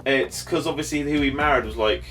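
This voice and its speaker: A man's voice: medium pitch, brisk. A man with a British accent in casual, matey trivia banter as a podcast co-host. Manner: animated, matey.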